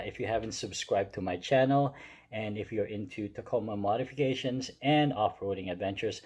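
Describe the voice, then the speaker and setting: A man hosting a DIY video, talking viewers through steps casually with halting, hesitant phrasing. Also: fairly low pitch, warm tone, no echo. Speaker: DIY car modification video host. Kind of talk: casual step-by-step tutorial talk.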